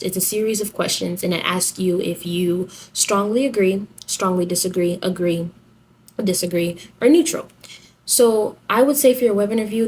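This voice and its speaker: A woman's voice: medium pitch, a little mellow, a little hiss or hum, poor audio. A young woman giving casual advice in a chatty, slangy, girlfriend-to-girlfriend way, like a vlogger. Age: young adult. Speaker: vlogger giving application tips.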